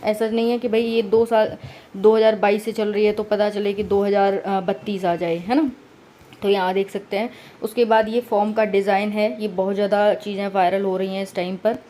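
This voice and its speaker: Casting: woman, mellow mid-pitched voice, conversational explainer who reads out notices and adds asides. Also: fairly even intonation, normal speed, slight background noise. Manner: conversational, frequent checking tags. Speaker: exam-news presenter for job aspirants. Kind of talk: informal explainer reading out notices and commenting.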